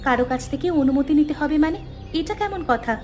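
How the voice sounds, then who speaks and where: A woman as a high-voiced, feisty village wife. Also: animated, quick pace, a little mellow, soft background music. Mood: defiant. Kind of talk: cartoon folk-tale acting.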